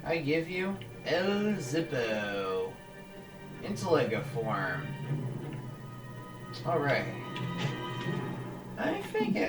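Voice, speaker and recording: A man hosting a casual gaming video, thinking aloud as he builds a character and often restarting his sentences. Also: fairly high pitch. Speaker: gaming channel host. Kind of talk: casual let's-play commentary. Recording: echoey room, voice far from mic, game music behind. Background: game music.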